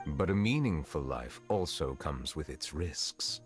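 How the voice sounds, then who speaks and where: A man playing a dignified, reserved judge in a video game, speaking in a formal, composed and measured way. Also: rumbling low, animated. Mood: solemn, reflective. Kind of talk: dramatic video game dialogue. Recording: music behind the voice.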